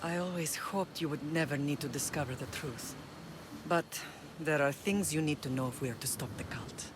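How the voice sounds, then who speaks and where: An actress playing a middle-aged mother who leads a Greek island, speaking in a measured, earnest, formal way in a dramatic game scene. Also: deep voice, noisy background, natural voice. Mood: tender regret. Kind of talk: dramatic game cutscene acting. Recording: music behind the voice.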